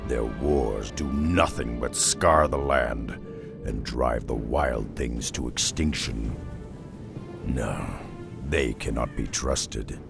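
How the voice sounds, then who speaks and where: A man voicing a gruff half-orc beastmaster and solitary wanderer, slow, grave and terse, in a game cutscene. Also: exaggerated voice. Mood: grim. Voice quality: deep gravelly growl. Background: orchestral game music.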